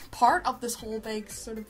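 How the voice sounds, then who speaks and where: High-voiced woman chatting through a review. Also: flat delivery, measured pace. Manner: rambling, informal, effusive. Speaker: review show co-host. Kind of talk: casual chat, review commentary. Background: background music.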